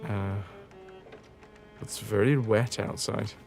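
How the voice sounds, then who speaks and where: A man's voice: lowish voice, steady tone, slow pace, vocal fry, darkish tone. Sardonic man streaming a game. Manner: sardonic, dry humour. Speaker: live streamer. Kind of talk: casual video game commentary. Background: game music.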